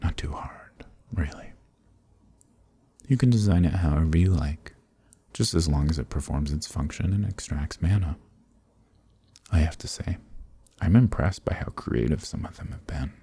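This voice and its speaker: A man voicing an ancient archdemon who is smug, amused and condescending, in a breathy, murmured dark fantasy ASMR roleplay with teasing, leisurely politeness. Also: very deep voice, normal speed, pauses often, no room sound, full character voice. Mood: amused, menacing.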